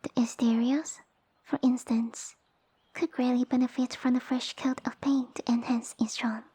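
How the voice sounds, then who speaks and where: Haughty young lamia heiress, played by a woman, high and breathy, pompous and bossy, for ASMR roleplay audio. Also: little pitch movement, normal speed, dark tone. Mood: bossy, exacting. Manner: pompous, formal, commanding speech. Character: haughty, sassy young lamia noblewoman, tiny monster girl.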